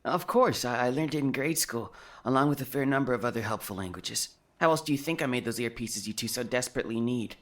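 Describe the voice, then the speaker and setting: Man putting on a clever, self-assured inventor, raised pitch. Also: quick pace, a bit bright, close to an everyday voice. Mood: proud.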